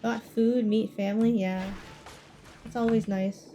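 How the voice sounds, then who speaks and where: A woman's voice: a little high, steady tone, unhurried, warm tone. A woman livestreamer chatting casually with her viewers, often repeating short phrases. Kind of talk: casual chat with stream viewers. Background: game music.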